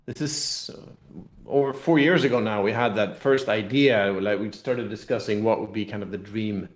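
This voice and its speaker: A man with a Swedish accent answering interview questions casually, haltingly restating phrases as he explains. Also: fairly low pitch, fairly even intonation. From Sweden. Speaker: guest, game designer and company head. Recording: echoey room sound.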